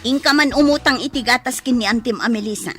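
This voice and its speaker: A woman's voice: fairly high pitch, fast, fairly bright tone, faint music behind, natural voice. A woman with a northern Philippine accent playing a scolding, argumentative village mother in a comedy radio drama. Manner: nagging, argumentative. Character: scolding, sharp-tongued village mother who gambles.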